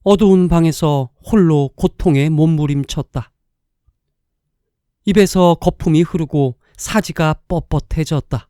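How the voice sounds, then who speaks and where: A man's voice: fairly high pitch, dark tone, no echo, clean studio sound. A man reading scripted story narration as the narrator of a drama. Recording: music behind the voice.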